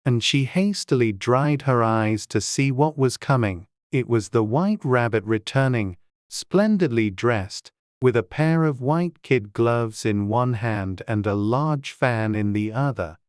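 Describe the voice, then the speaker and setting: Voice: mid-range voice, expressive, a little slow, clean tone, no echo, clean studio sound. A narrator reading a children's storybook as a scripted audiobook.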